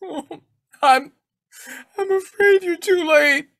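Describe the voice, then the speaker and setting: High, tearful, frantic youngster. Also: female voice, slow, fairly bright tone, dry recording, clean studio sound, heavily acted. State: crying.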